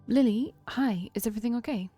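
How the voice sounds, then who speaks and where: A woman's voice: fairly high pitch, fairly quick, mellow, close to an everyday voice. A woman voicing a blunt, fond, protective older sibling in a story reading. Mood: concerned.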